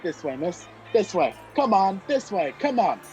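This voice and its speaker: A man acting a gallant, puzzled gentleman in a radio play, courteous and questioning as he takes charge. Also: high-pitched, big pitch swings, moderate pace, lo-fi sound, soft background music. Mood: commanding, reassuring. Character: gallant, puzzled gentleman helping a frightened stranger. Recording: video call with faint background noise.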